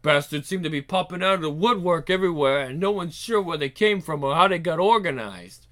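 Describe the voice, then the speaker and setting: A man's voice: fairly high pitch, animated, brisk. A man playing a crude, blustering mafia don with a sneering, menacing manner. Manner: sneering, swearing, forceful. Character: brash male mob boss, crude, menacing, blustering.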